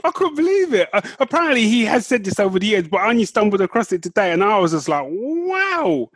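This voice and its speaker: A man's voice: high-pitched, sing-song, fast talker, a bit bright, no echo, studio quality. A man co-hosting a podcast, chatting casually and informally, repeating himself for emphasis. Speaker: podcast co-host. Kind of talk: casual chat about film and book news.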